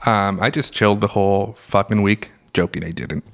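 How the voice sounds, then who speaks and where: A young man co-hosting a casual podcast, talking with a raspy just-woke-up voice and joking and laughing as he tells stories. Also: on the low side, fairly even intonation, normal speed. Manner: joking, laughs often, rambling storyteller. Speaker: podcast co-host. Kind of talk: casual chat.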